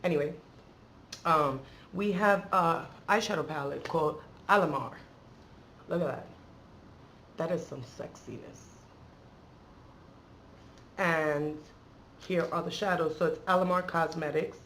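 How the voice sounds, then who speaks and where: A woman's voice: sits low, measured pace, pauses often, a bit bright, a little hiss or hum, rough recording. A woman hosting a beauty vlog in a casual, chatty, slangy way, wandering into playful asides. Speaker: beauty vlogger and channel host.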